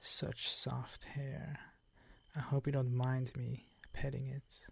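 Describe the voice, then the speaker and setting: Man playing a slow, gravelly vampire count. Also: mid-range voice, completely flat delivery, heavily acted. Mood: soothing, possessive. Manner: slow, ornate, condescending seductive purr. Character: suave, menacing older male vampire count, possessive and hungry. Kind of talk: ASMR roleplay monologue.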